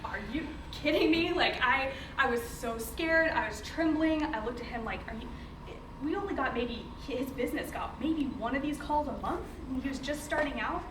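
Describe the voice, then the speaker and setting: In a reverberant, noisy room, a young American woman speaks in a fairly high voice. She presents informally to a group, conversational and emphatic, like someone sharing her own story as a founder. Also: normal speed. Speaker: company founder presenting to teachers. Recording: roomy, distant mic, noisy background.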